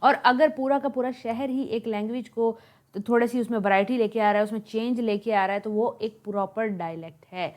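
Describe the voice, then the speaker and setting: A woman's voice: on the high side, a little fast, darkish tone. A woman from Pakistan with a Pakistani Urdu accent, explaining linguistics notes as a lecturer, repeating points with examples and slipping in English terms.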